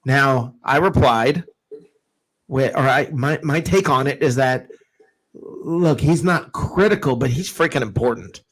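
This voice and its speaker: A man's voice: mid-range voice, measured pace, poor audio. Casual, emphatic male sports host. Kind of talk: casual live sports chat.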